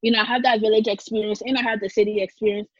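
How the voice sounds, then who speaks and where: A woman's voice: medium pitch, little pitch movement, rapid-fire, no room sound. A talkative young woman from Liberia, telling her story conversationally as an interview guest. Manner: talkative, laughs easily. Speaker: interview guest, culture and fashion blogger. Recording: dull, lo-fi dry audio.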